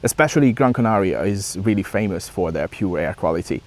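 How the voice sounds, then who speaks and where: A man's voice: low-pitched, expressive, fairly quick. A man from Hungary with a Hungarian accent, talking reflectively as a vlogger in hesitant non-native English. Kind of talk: reflective talk to camera.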